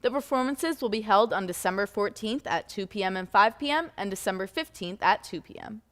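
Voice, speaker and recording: A woman co-anchoring a student newscast, reading event announcements in a steady, even way. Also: medium pitch, brisk, long reverb tail. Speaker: news co-anchor.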